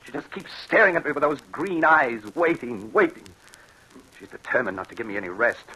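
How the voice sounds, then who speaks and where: A man's voice: mid-range voice, very animated, brisk, sharp tone, slightly rough, exaggerated voice, low-quality recording. An actor playing a haunted, fearful man in an old radio drama, melodramatic and intense, stammering under strain. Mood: desperate, fearful. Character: haunted, fearful man tormented by a ghostly cat-woman. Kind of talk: 1940s radio drama acting. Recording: hissy old radio broadcast.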